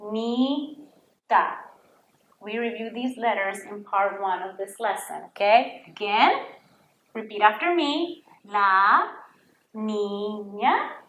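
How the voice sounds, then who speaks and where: A woman from Puerto Rico with a Puerto Rican accent, teaching a slow children's Spanish lesson and modeling sounds for students to repeat. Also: mid-range voice, fairly bright tone, echoey room, rough recording.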